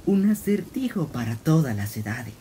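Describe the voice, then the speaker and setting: A man's voice: a little high, very animated, moderate pace, dark tone, husky, heavily acted. A man voicing a manic young gangster cat in a cartoon, florid, theatrical and gleefully wordy. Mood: mock pondering. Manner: theatrical, florid, mock-philosophical. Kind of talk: animated fan comic dub. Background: rain.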